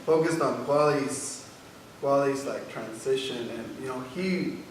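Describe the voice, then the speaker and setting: A man's voice: mid-range voice, measured pace. A man speaking informally in an audience discussion, tentative and searching for his words. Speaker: member of the production team. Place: large echoey indoor arts venue. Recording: very echoey hall, distant mic, noisy.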